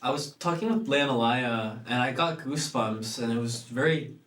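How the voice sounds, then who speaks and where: A young man from Los Angeles who sings and acts in a pop group, answering earnestly and trailing off softly at the ends of phrases. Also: medium pitch, normal speed, roomy sound. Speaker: singer and actor in a pop group. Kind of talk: casual interview answers.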